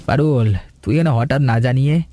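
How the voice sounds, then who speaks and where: A man voicing a kind, steady middle-aged husband and father in a cartoon story, talking in a calm, reassuring way. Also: mid-range voice, a little fast, natural voice. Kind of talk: cartoon voice acting.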